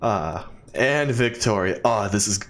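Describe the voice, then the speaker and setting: A man's voice: fairly low pitch, lively intonation, a little slow, a bit bright. A man hosting a gaming video, casually reading game messages aloud and commenting in a playful, theatrical way.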